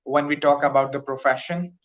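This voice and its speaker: A man's voice: mid-range voice, fairly even intonation, brisk, a bit bright, muffled recording. A Pakistani man whose first language is Urdu, an English teacher talking carefully with fillers on a tutoring call. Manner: careful, hesitant, many fillers. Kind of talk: casual conversation practice. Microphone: video call.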